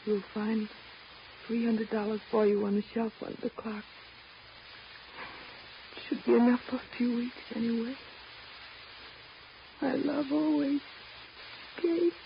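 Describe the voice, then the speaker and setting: A sorrowful woman in a 1930s radio drama. Her voice is fairly high with a creaky edge, heard through a dull, hissy vintage broadcast recording. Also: steady tone, unhurried, long pauses. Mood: sad, tender.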